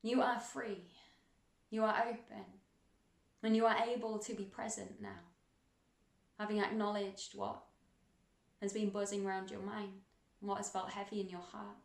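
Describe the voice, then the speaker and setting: A woman's voice: medium pitch, little pitch movement, creaky. Woman guiding meditation in a roomy space. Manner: gentle, soothing, unhurried instruction with long pauses. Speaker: meditation guide. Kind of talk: guided meditation. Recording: roomy, echoing sound.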